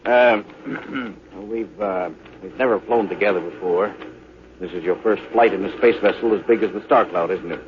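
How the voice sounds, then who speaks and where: An actor as a gruff American spaceship captain. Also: male voice, deep voice, moderate pace, close to an everyday voice. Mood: measured, reflective. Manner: clipped orders, gruff authority. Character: male spaceship captain, commanding, short-tempered but loyal. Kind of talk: 1950s radio drama acting. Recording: dull, noisy old radio recording.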